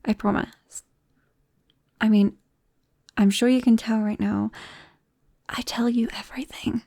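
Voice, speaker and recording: Soft, slow British woman as a shy young vampire. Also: on the high side, steady tone, no echo, studio quality. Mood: playful, confiding. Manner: soft-spoken, hesitant, often dropping into whispers. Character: shy, embarrassed young female vampire confiding in best friend. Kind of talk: ASMR roleplay, intimate and conversational. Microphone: close microphone.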